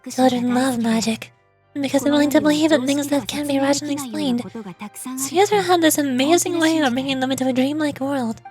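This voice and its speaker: A woman voicing a soft-spoken grieving widow, a wistful romantic from a video game. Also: high voice, a little fast, over music, natural voice. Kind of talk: video game character voice acting.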